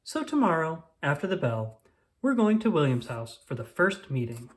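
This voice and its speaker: An expressive reader narrates a children's storybook at a middling pitch. The intonation is very animated, and key phrases are drawn out deliberately. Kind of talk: storybook read-aloud, first-person child narrator.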